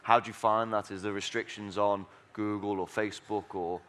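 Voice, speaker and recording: A man's voice: on the low side, level tone, moderate pace, a bit bright. A man moderating a conference panel, asking long, rambling questions full of fillers.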